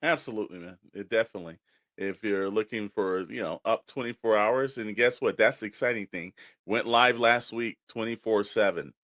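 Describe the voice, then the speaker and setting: A man's voice: lowish voice, normal speed, a bit bright, no echo. Chatty male radio co-host, very dry sound. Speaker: co-host reading weather segment. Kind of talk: casual joking radio banter.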